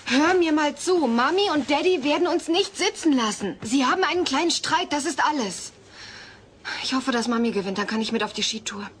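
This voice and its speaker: A woman's voice: high voice, lively intonation, brisk, a little hiss or hum. A woman playing a level-headed seventeen-year-old daughter, matter-of-fact and lightly teasing, in a dubbed sitcom audio play. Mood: calm, teasing.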